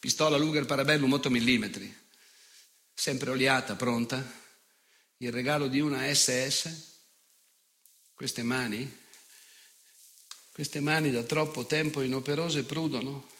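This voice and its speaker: An actor playing an unrepentant, ageing fascist in a theatrical monologue, declamatory and sardonic, repeated phrases and dramatic pauses. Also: male voice, mid-range voice, fairly even intonation, a bit bright, close to an everyday voice. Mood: menacing. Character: unrepentant ageing fascist nostalgic, bitter, sneering, menacing man.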